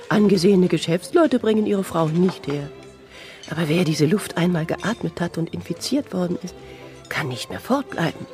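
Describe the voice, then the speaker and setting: A woman's voice: medium pitch, warm tone, close to an everyday voice. A woman playing an indulgent, rapturous holiday guest in a German radio play.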